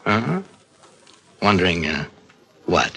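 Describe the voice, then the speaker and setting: An actor playing a shifty, scheming crime boss in 1940s radio acting, evasive and cold, with a husky voice. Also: male voice, deep voice, very animated, slow pace, sharp tone, heavily acted. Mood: evasive, uneasy. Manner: hesitant, evasive hemming.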